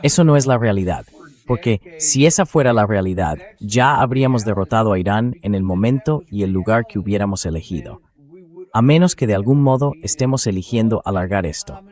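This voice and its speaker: A man with a fairly low voice hosts a political talk show. He comments in an emphatic, sceptical manner and leans on pointed rhetorical questions. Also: animated, fairly quick, a little mellow.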